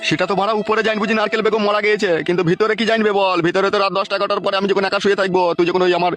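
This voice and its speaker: Man acting aging cartoon lover, high-ish male voice, very fast, whining, melodramatic, music behind. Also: flat delivery, a bit bright. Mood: heartbroken. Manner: melodramatic, complaining. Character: lovesick aging village lover, aggrieved and whining. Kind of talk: comic cartoon dialogue. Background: background music.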